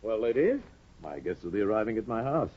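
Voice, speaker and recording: A smooth middle-aged judge, husky and brisk, lo-fi 1950 radio. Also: male voice, fairly low pitch, big pitch swings. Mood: urbane. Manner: brisk, urbane. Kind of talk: 1950s radio acting. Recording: dull lo-fi 1950 radio recording.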